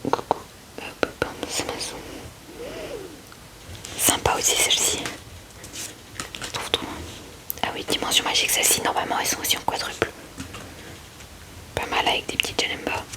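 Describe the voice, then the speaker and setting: Woman doing asmr, all breathy whispers, little casual comments to viewers, super close mic. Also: moderate pace, long pauses. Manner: gentle, informal, murmuring short remarks to viewers. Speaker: ASMR video creator. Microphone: close ASMR microphone.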